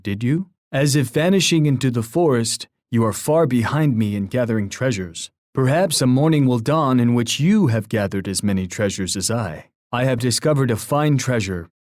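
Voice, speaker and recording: Guy doing a solemn proud war chief for a strategy game, formal poetic speeches, mid pitch, really dry recording. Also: darkish tone, no room sound, close to an everyday voice. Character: proud, solemn Native war leader and ally. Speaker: video game character voice actor. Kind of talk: scripted strategy game voice lines.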